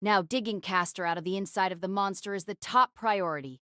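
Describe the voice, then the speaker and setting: A woman's voice: mid-range voice, a little fast, a bit bright, clean tone, dry recording, lightly acted. A resolute young female knight king acted by a woman in an audio drama. She is firm and formal, with a restrained delivery and a dry, close sound. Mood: stern. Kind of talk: dramatised audio fiction acting.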